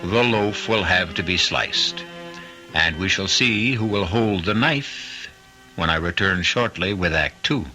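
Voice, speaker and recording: A man hosting an old-time radio drama, delivering scripted narration in a theatrical, deliberate, philosophical manner. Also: deep voice, animated. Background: music.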